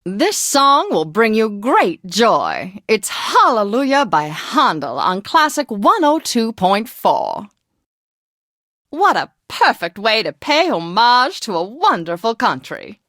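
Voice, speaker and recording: A woman's voice: fairly high pitch, very animated, takes it slowly, fairly bright tone, no echo, clean studio sound, exaggerated voice. An actress playing a flamboyant, martini-loving lady DJ on a classical radio station in a video game, with a theatrical, gushing, old-fashioned manner. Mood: grandly gushing. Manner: theatrical, affected, gushing, old-time slang and endearments. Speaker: radio host.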